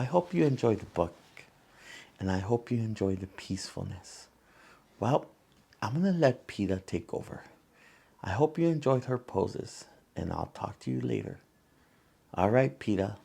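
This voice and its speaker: A man with a fairly low, creaky voice reads a picture book to children. He goes very slowly and gently, leaving space between phrases and turning to the young listeners with little asides. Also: lively intonation. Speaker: children's storyteller and host.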